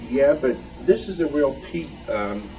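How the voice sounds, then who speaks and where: A man's voice: mid-range voice, animated, a little slow, recorded from a distance, a crowd in the background, rough recording. A man explaining an item informally at a show-and-tell, hesitant and in broken phrases. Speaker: club member presenting his collection. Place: club meeting room. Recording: recorded from off the mic.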